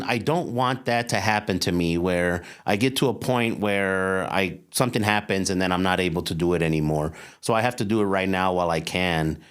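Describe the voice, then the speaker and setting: A man in his forties from Los Angeles speaks as an interview guest. His voice sits a little low, and he tells his story candidly in a loose, conversational way, often repeating words as he thinks. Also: a bit bright, smooth. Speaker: guest, real estate investor and mindset coach.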